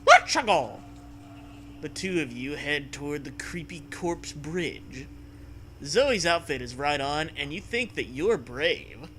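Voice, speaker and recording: A man's voice: fairly high pitch, a little slow, fairly bright tone, a little hiss or hum. A man hosting a comedic read-aloud, delivering a story theatrically and breaking off to riff and joke. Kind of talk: comedic dramatic read-aloud with casual banter.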